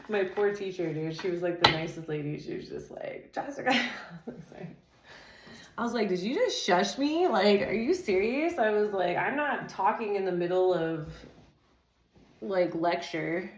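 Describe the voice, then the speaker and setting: A woman doing a casual tarot reading, talking in a loose, rambling way full of "like" and "dude", with a naturally loud voice. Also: medium pitch, expressive, measured pace, echoey room. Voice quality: says she has a naturally loud voice. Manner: chatty, loose, filler-heavy, says "dude" and "like" constantly. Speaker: tarot reader.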